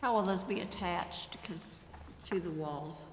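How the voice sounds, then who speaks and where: Slow-paced councilwoman in an echoey hall. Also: on the low side. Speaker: city council member. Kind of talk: formal motions and questions in a public meeting. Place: council chamber, indoors. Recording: echoey hall, clear background noise.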